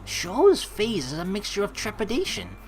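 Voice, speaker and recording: A woman hosting a let's play, reading story narration aloud and reacting with animated, excitable commentary. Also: medium pitch, moderate pace, slight background noise.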